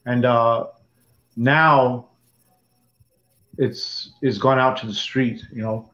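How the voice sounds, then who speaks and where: A man, telling his life story in an interview with halting restarts. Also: mid-range voice, fairly even intonation, pushed and bright. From Dallas, Texas. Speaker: interviewee, former prison gang member. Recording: echoey room, dull lo-fi sound.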